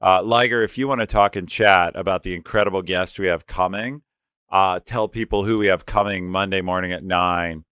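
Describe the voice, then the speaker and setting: A man's voice: low-pitched, fairly even intonation, normal speed, fairly bright tone, smooth, dry recording, clean studio sound. A man co-hosting a casual livestream over a video call, talking informally and directly to the chat. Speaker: livestream co-host.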